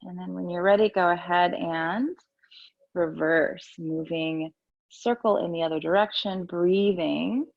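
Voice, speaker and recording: A woman teaching yoga and meditation, giving gentle, soothing, unhurried guidance with pauses between instructions. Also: fairly low pitch, fairly even intonation, creaky, no room sound, muffled recording.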